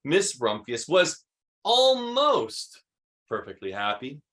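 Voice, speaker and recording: A man reading a children's story aloud expressively, with emphatic delivery and dramatic pauses. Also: a little high, wildly swooping pitch, fairly bright tone. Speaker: read-aloud host.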